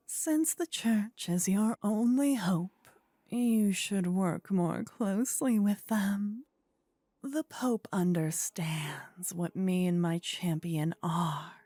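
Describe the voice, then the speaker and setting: A woman acts out a possessive, sinister demon queen for an ASMR fantasy roleplay. She savors each phrase very slowly in a dark, breathy half-whisper, seductive and imperious. The sound is close and dry. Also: medium pitch, animated, no room sound.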